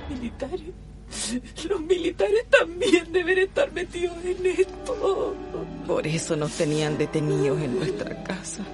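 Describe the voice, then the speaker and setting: A woman's voice: very high-pitched, big pitch swings, a little slow, exaggerated voice. An actress in a Chilean radio drama playing a desperate, crying daughter pleading with her father, with a Chilean accent. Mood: tearful desperation. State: tearful, crying. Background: loud music.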